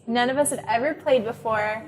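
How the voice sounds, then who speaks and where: A woman's voice: a little high, fairly quick, poor audio. A woman in her twenties telling stories in a casual interview, chatty, with many fillers. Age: 20s. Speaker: club member. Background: background music.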